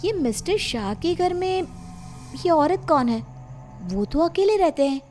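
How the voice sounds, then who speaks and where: A woman's voice: very high-pitched, sing-song, a little slow, darkish tone, soft background music, full character voice. A woman playing an emotional young college student in a cartoon story. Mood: distressed, puzzled. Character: Kanishka and friends, emotional young college women.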